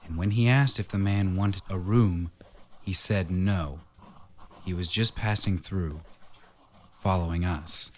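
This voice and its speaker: A man plays a haunted, weary husband in a radio drama. His voice is low and grave, with deliberate phrasing, as if setting events down in a journal. There is some noise on the recording. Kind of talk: radio drama acting and diary narration.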